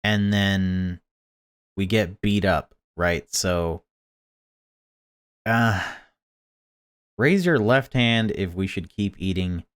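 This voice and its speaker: A man's voice: sits low, drawn-out words, pauses often, clean tone. A man hosting a gaming video, joking around and swearing casually in rambling let's play commentary.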